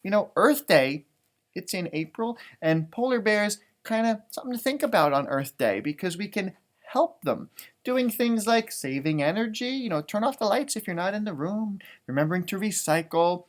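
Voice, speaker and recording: A man reads a storybook aloud for children. His pitch moves around freely and expressively. He draws out the written words, then slips into casual, exclaiming commentary on the pictures. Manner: animated, exclaiming, drawn-out words when reading. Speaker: storybook reader, elementary music teacher. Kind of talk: children's picture book read-aloud with chatty asides.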